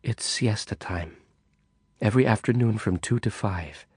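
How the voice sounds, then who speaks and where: A man voicing a blunt, cynical young woman who talks tersely and in hushed tones in an audiobook reading. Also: lowish voice, measured pace, breathy edge, dry recording, studio quality.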